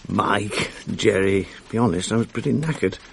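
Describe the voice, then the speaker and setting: A man's voice: on the low side, normal speed, vocal fry, breathy edge. An actor playing an evasive middle-aged married man with a British accent in a naturalistic radio drama, speaking in an offhand, dryly understated way. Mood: offhand, casual. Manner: offhand, hesitant, dry understatement. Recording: faint hiss on archive radio recording.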